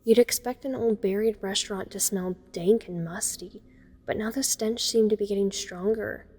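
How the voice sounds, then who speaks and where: A woman's voice: medium pitch, fairly even intonation, normal speed, mellow, natural voice. A woman playing a teenage girl who narrates her horror ordeal in the first person, observant and uneasy. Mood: uneasy, watchful. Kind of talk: first-person dramatic audio story narration.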